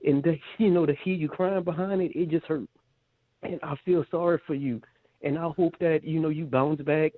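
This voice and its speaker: A man's voice: fairly high pitch, steady tone, normal speed. A man calling into a live talk show, speaking earnestly and at length in a heartfelt, rambling way. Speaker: caller. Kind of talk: casual phone call. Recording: tinny phone line.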